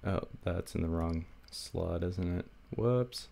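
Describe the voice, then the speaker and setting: Slow, low-voiced man, slightly stuffy. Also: creaky, dark tone. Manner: rambling, self-deprecating, many fillers. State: stuffy, getting sick. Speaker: hobby lockpicker demonstrating. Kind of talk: casual hands-on tutorial. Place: indoors at a table.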